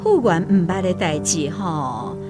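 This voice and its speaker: A lively, wry storytelling woman. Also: lowish voice, a little slow, over music. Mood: wry amusement. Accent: Taiwanese. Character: wry, lively woman recalling her life. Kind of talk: Taiwanese radio drama acting.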